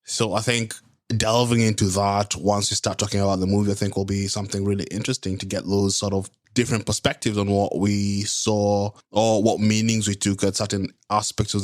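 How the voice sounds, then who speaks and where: A man's voice: fairly low pitch, no room sound, studio quality. A man co-hosting a podcast, speaking in an easygoing, thoughtful way. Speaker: podcast co-host. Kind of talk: casual chat.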